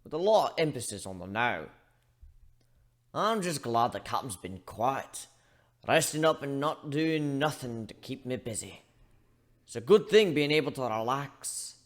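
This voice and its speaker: Man voicing a gruff, animated old-salt pirate grumbler. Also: mid-range voice, measured pace. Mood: relaxed grumbling. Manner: grumbling, rough colloquial speech. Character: gruff, grumbling pirate shipbuilder, male, fond of whiskey. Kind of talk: dramatic reading of fan fiction.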